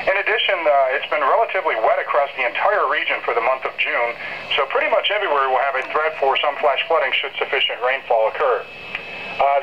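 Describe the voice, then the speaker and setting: Over a slightly noisy, band-limited weather radio, a man speaks as a forecaster in a live briefing. His mid-pitched voice is measured and technical as he explains things step by step. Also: dull audio.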